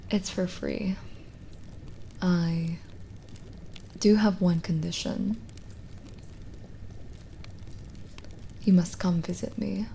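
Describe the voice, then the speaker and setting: A woman playing a lonely, gentle witch in an ASMR roleplay, speaking softly and intimately, often in a whisper, with halting pauses. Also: lowish voice, slight background noise, heavily acted. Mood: coaxing, firm. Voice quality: soft, breathy, often whispered. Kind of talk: ASMR roleplay, slow soothing scripted acting.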